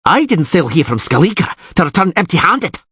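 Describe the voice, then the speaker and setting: A hot-headed island warrior, played by a man, bellows threats in a loud, brash manner. The voice is pushed up and swings widely, with a husky edge. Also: on the high side, sing-song, moderate pace, dry recording, clean studio sound, voice pushed up, exaggerated voice. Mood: furious. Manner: yelling, brash.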